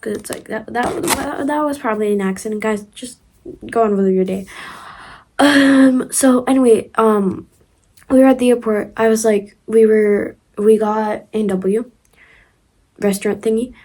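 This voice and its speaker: A woman's voice: a little high, steady tone, moderate pace, dark tone. A young woman with a Ukrainian accent talks straight to her viewers in a loose storytime vlog. She rambles and restarts often, with lots of "um" and "like" and giggly asides. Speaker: vlogger.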